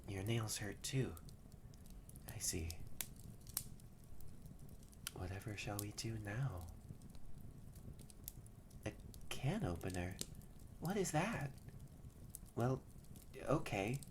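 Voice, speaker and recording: A woman voicing a haughty young male catboy who is secretly soft-hearted, bratty and bossy but easily flustered, in a scripted roleplay audio drama spoken to the listener. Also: a bass voice, animated, drawn-out words, pauses often, background noise, full character voice. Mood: puzzled, bossy.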